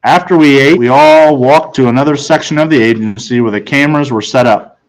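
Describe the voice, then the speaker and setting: A man's voice: medium pitch, a little fast, poor audio. Male teacher, mid-range voice, for read-aloud storybook narration.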